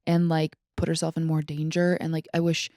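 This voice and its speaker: A woman's voice: sits low, monotone, fairly quick, a little mellow, no echo, studio quality. A young woman co-hosting a podcast, chatting casually and giving opinions in very informal speech sprinkled with "like" and mild swearing. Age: young adult. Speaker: podcast co-host.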